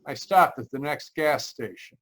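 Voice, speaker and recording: A man's voice: medium pitch, animated, normal speed, fairly bright tone, close to an everyday voice. A man playing an uneasy motorist who tells his eerie road story in old-time radio mystery style, with a hesitant, dramatic delivery. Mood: haunted, brooding. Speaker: actor, lead narrator. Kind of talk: 1940s radio mystery acting. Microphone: remote video call.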